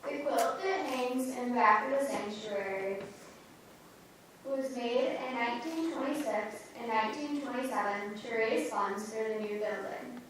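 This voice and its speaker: A woman reads out an explanatory part on church history. Her voice sits a little high. It is heard from a distance in a very echoey sanctuary. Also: a little slow, slight background noise. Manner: informative, explanatory. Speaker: reader. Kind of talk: reader's theater narration.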